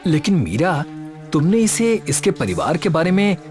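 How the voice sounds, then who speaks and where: A man's voice: on the high side, normal speed. An actor playing an earnest, good-hearted and easily startled young man in an audio story. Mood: concerned. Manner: expressive, stammers when frightened. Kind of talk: audio story character acting. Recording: music bed behind the voice.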